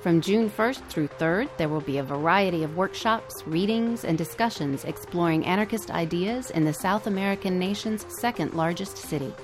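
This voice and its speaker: A woman's voice: fairly low pitch, moderate pace, hardly any pauses. A woman hosting a podcast, reading scripted announcements of upcoming events. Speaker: podcast host. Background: music.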